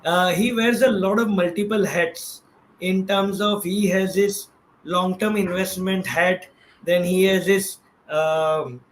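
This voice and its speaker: A man's voice: high-pitched, fairly even intonation, takes it slowly. A man with an Indian accent explaining things as a guest expert in a casual, conversational way, checking in as he goes. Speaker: guest expert, trading professional. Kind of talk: casual explanatory talk on stock trading. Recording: roomy echo, faint background noise.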